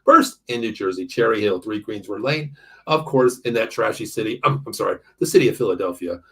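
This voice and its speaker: A man in his early fifties with an American accent hosts casual sports talk. His voice is rough and husky with a lively, wide-moving pitch. He speaks bluntly, repeating phrases as he drives a point home. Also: brisk. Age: early 50s. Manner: animated, blunt, swearing, often repeats himself. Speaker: sports show host. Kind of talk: casual sports banter with ad reads.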